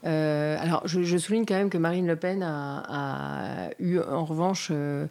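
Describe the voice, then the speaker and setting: A woman's voice: sits low, a little slow, warm tone. A woman hosting a TV panel discussion, talking emphatically with frequent fillers. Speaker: talk show host.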